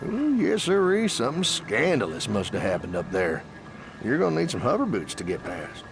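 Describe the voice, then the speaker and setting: A man's voice: medium pitch, wildly swooping pitch, moderate pace, vocal fry. An actor playing a chatty, friendly frontier trader in a video game, with a rural Southern American drawl and a salesman's folksy manner. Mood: cheerful, folksy. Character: folksy friendly male frontier trader who bargains for trophies. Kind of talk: animated game character acting. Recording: music behind the voice.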